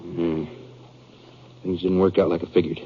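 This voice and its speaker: An actor playing a bitter, brooding western outlaw in a 1950s radio drama, speaking in halting, rustic, confessional fashion. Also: male voice, deep voice, little pitch movement, a little hiss or hum, close to an everyday voice. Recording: dull 1950s radio recording.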